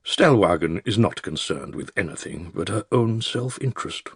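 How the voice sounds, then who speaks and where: An actor playing an elderly male care-home resident with a British accent, narrating his secret diary in a dry, wry, measured and articulate way with a gravelly, husky voice. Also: low voice, animated, no room sound, full character voice. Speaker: audiobook narrator. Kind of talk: scripted first-person diary narration.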